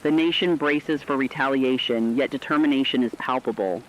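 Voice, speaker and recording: A man playing a grave radio correspondent, reading war news in a solemn, forceful, declamatory scripted style. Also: medium pitch, moderate pace, close to an everyday voice, poor audio. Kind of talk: scripted dramatic news broadcast.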